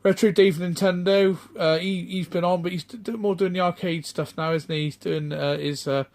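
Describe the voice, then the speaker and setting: A middle-aged man, chatting off the cuff in a rambling, halting way full of ums and restarts. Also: high-pitched, fairly even intonation, a little mellow. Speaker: retro game collector vlogger. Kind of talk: casual off-the-cuff vlog chat.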